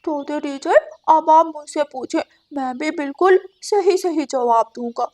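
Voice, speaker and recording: A woman's voice: high voice, unhurried, a little mellow. A woman voicing a boastful, simple-minded little boy bird with a cocky manner in a cartoon. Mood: confident. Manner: cocky, self-assured.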